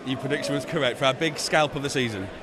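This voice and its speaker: A man hosting a casual football podcast outdoors, jokey and halting with fillers. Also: medium pitch. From England. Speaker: podcast host. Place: outdoors near a stadium.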